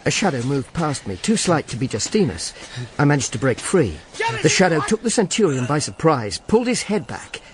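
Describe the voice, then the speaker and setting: A man playing a world-weary, sardonic Roman informer who narrates and speaks in a radio drama. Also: on the high side. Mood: grim, tense. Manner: dry, sardonic. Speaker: lead actor and narrator.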